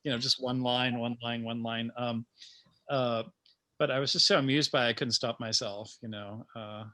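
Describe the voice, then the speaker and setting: A man talking informally as a playwright about his work, in a halting, self-amused way full of trailing asides. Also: mid-range voice, steady tone, pushed and bright. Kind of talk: informal panel conversation.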